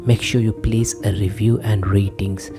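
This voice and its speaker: A man's voice: lowish voice, level tone, moderate pace, music in the background. A man hosting a podcast, giving a casual outro to listeners. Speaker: podcast host.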